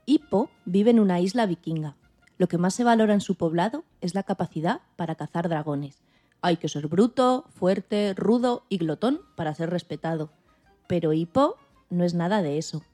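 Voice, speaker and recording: A woman's voice: lowish voice, lively intonation, normal speed, warm tone, soft background music. A woman from reading a scripted story summary as a podcast host.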